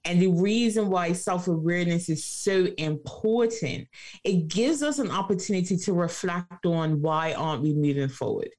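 A woman's voice: fairly low pitch, normal speed, darkish tone. A woman speaking as a life coach guest in an interview, emphatic and motivational, repeating phrases for rhythm.

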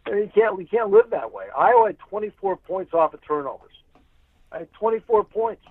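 Thin, dry phone sound. Male sports announcer as guest, fairly high voice, conversational and emphatic. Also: expressive, a little fast, dry recording, phone-quality sound. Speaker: radio play-by-play announcer as guest.

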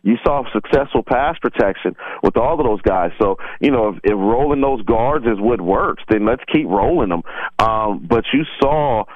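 A man's voice: fairly low pitch, animated, moderate pace, no room sound. Male football analyst on a phone-like line. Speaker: football analyst on a sports show. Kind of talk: casual conversational sports commentary. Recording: dry, band-limited phone-like line.

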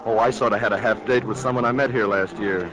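A man's voice: fairly low pitch, fairly even intonation, quick pace, close to an everyday voice. An actor playing an eager, bashful young man in a 1940s radio drama. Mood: flustered. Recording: noisy 1940s radio broadcast recording.

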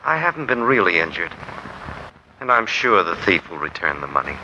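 Gentle little man, lilting delivery. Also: deep voice, sing-song, normal speed, full character voice. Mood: earnest, gentle. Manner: soft-hearted, earnest, proper diction. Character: mild, gentle, trusting little man, kindly benefactor. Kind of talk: 1940s radio drama acting. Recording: dull, faintly noisy 1940s radio recording.

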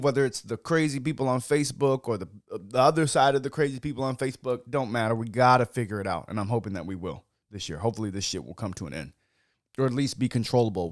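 A young man hosting a casual wine-tasting podcast, hoarse from yelling, talking loosely with lots of fillers and swearing. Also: mid-range voice, no room sound, studio quality. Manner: loose and chatty, many "like" fillers, swears freely. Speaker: podcast host.

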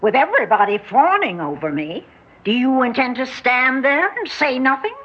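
A husky old woman rules the room in a high, swooping, sharp-tongued voice. She speaks with deliberate, cutting command. It sounds like a dull 1960s radio drama recording. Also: big pitch swings, exaggerated voice. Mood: irritated, biting. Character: imperious sharp-tongued old matriarch, eighty-four, company director.

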